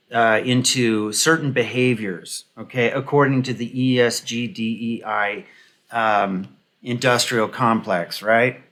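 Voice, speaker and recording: A man's voice: mid-range voice, bright, forceful tone. Opinionated man rambling through political commentary. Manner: opinionated, halting, rambling asides. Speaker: host of a political commentary video. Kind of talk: casual off-the-cuff commentary on news and online comments.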